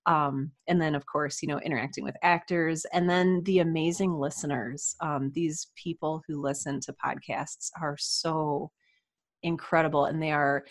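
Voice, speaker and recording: Woman on a podcast, pretty low voice, chatty writer telling stories casually. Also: moderate pace. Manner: animated, chatty, self-quoting storytelling. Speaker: podcast guest, writer and audio drama creator. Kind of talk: casual interview chat. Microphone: USB desktop microphone.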